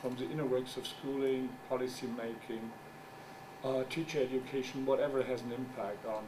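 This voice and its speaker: A middle-aged man whose first language is German gives a conference talk as a journal editor. He speaks English haltingly, searches for words, and makes wry asides. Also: mid-range voice, steady tone. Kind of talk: conference presentation. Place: conference room. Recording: distant mic with background noise.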